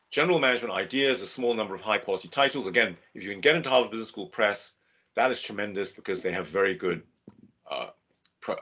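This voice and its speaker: A man giving a measured, steady webinar lecture over a video call. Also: medium pitch, slightly rough. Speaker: guest lecturer. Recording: dull lo-fi video call audio.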